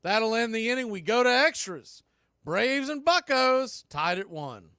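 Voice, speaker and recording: A man doing radio baseball play-by-play, making steady calls mixed with conversational analysis. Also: very high-pitched, measured pace, clear, resonant tone, dry recording, clean studio sound. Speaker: play-by-play announcer.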